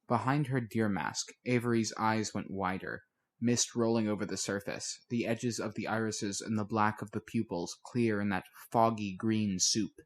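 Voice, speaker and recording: A man's voice: lowish voice, steady tone, moderate pace. Male audiobook narrator, scripted fiction.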